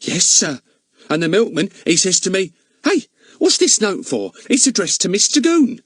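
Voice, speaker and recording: A man's voice: high voice, sing-song, normal speed, slightly rough, no echo, clean studio sound, pitched above the performer's own voice, heavily acted. A man voicing a talkative, easily offended older female housekeeper who keeps calling her employer sir. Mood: chatty. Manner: gossipy, deferential, many a "sir". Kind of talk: audiobook character voice.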